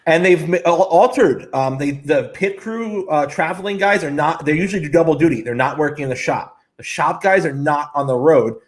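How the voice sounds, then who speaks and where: Sports cohost guy, voice a bit on the high side, blunt opinions in punchy little phrases. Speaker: sports show co-host. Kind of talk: casual sports talk and opinion.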